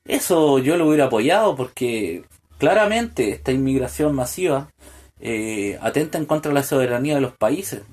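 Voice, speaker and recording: A man's voice: mid-range voice, expressive, normal speed, a little mellow. A man delivering a solo political podcast monologue, full of hesitant fillers and restarts, building to pointed rhetorical questions. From Chile. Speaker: podcast host.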